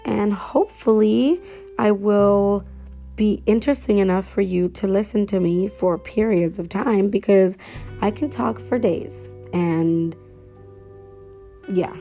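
A woman's voice: mid-range voice, takes it slowly. A woman in her twenties talking casually and cheerfully on her own podcast, rambling with fillers. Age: 20s. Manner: chatty and upbeat, rambling with fillers. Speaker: podcast host. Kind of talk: casual solo podcast intro. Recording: slightly dull lo-fi sound with faint guitar music.

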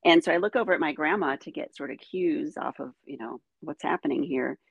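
A middle-aged woman, first raised speaking Ukrainian and from the United States, telling her life story as a guest in animated conversation. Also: deep voice, a little fast, creaky, breathy edge, no room sound, highs cut off. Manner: animated storytelling, frequent "you know". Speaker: guest, dancer.

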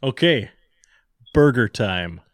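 A man's voice: medium pitch, animated, slow, dry recording, clean studio sound. A man co-hosting a podcast in casual chat with a jokey way of talking. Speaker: podcast co-host.

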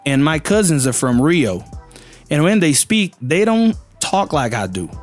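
Brazilian man, native Portuguese, raised-pitch voice, chatty English lesson with faint music underneath. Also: sing-song, a little slow. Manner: chatty, fills with "you know" and "man", acts out learners' voices. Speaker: English teacher. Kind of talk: casual video lesson.

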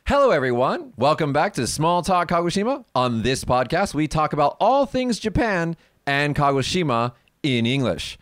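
A chatty young man hosts a relaxed podcast, laughing easily as he asks questions. His voice is smooth and clear, recorded close and dry with no room sound. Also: a little high, normal speed, no echo. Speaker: podcast host. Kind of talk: casual podcast chat.

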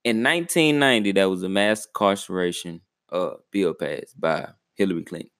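Laid-back, lower-voiced young man. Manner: laid-back, measured, often trailing off quietly. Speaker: podcast co-host. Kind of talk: casual chat.

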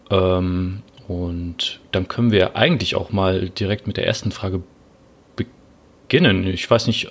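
A young man in his twenties hosts a relaxed podcast chat, his voice sitting a little low. He often pauses and fills the gaps with small hesitation sounds as he searches for words. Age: 20s. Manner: hesitant, many filler sounds. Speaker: podcast host. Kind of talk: casual podcast conversation.

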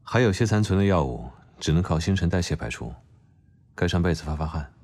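Audio drama role, male actor: dry, curt doctor with a soft heart, low register, clipped commands. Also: lively intonation, brisk. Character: curt, exasperated but secretly tender male doctor. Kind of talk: Chinese audio drama acting.

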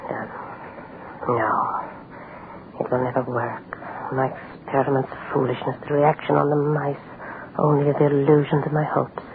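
A woman playing a grave, anguished doctor in an old radio horror play, speaking in halting, dramatic phrases. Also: a bass voice, level tone. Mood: tense, anguished. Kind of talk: 1940s radio horror acting. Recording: noisy, tinny old radio recording.

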